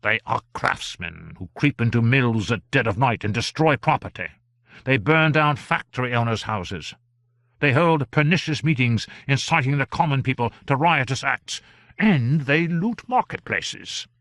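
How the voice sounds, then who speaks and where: A man reading an audiobook, voicing an earnest male government gentleman who speaks emphatically and exclaims in surprise. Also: medium pitch, lively intonation, measured pace, dry recording, clean studio sound. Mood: grave.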